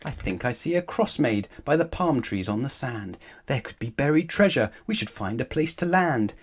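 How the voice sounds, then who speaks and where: A man's voice: medium pitch, expressive, normal speed, breathy edge. Storybook heroine: eager, caring little girl adventurer at the controls, played by a male reader. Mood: excited. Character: eager, kind-hearted young girl pilot adventurer.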